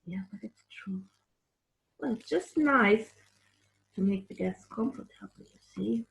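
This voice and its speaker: A chatty bear puppet played by a woman talks at a slow pace in children's story time. The bear is eager and childlike, homely in manner, and always keen on honey. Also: medium pitch, vocal fry, low-quality recording.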